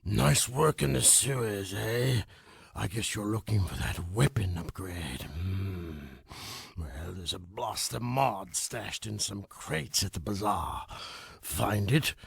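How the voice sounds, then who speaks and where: An actor playing a sly, greedy underworld crime boss in a video game, with a thick gravelly rasp and a drawling, grumbling delivery. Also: male voice, lowish voice, no echo, exaggerated voice. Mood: grumbling, dealing. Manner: slow drawl, punctuated with questioning "eh?" tags. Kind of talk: video game cutscene acting.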